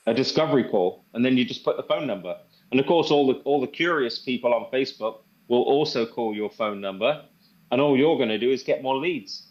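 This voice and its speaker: A man giving an informal product demo and sales pitch, conversational and repeating phrases for emphasis. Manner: conversational, repeats phrases for emphasis, acts out sample phone calls. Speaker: presenter demonstrating software to a group. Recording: dull, band-limited sound.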